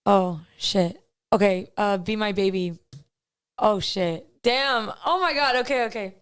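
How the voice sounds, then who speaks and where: A woman singer with a raspy voice, chatting loosely as a guest on a radio show. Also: mid-range voice, measured pace. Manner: laughing, loose and unguarded. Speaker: musician guest. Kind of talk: casual radio chat.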